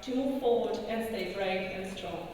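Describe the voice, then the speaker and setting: A woman's voice: mid-range voice, moderate pace, darkish tone. Non-native woman giving speech in echoing hall. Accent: non-native English. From outside Australia. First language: not English. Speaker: student speaker at a graduation. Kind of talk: prepared ceremonial speech. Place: large graduation hall. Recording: echoey hall, distant mic, noisy.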